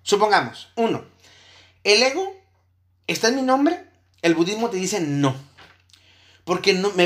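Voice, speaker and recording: Man giving a lecture, checking in often. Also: fairly high pitch. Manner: checks in with listeners with frequent tag questions. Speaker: lecturer on Buddhism and emotions. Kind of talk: explanatory lecture to an audience.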